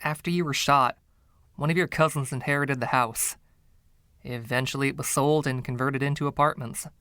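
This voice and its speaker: A man's voice: mid-range voice, steady tone, normal speed, sharp tone, clean tone, no echo, clean studio sound, natural voice. A man voicing a mild, defensive music teacher in an audio story, plain-spoken and earnest. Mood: explaining.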